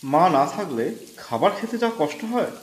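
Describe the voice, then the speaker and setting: A man's voice: a little high, sing-song, normal speed. A man narrating a scripted first-person horror story in a dramatic storytelling manner. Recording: echoey voice over background music.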